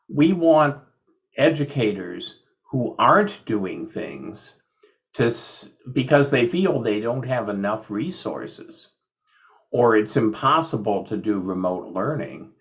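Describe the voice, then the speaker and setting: Slow, deliberate male podcast host. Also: lowish voice. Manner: slow, deliberate, with many fillers. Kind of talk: framing a video call discussion. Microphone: video call. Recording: dull lo-fi call audio.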